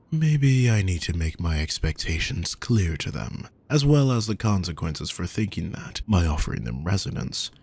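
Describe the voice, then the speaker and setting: Man acting a deep, gravelly possessive villain. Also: no room sound, heavily acted. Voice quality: low, gravelly, husky. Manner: measured, formal, softly menacing. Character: possessive wealthy villain kidnapper, male, calm, courtly, manipulative. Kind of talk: intimate scripted roleplay audio.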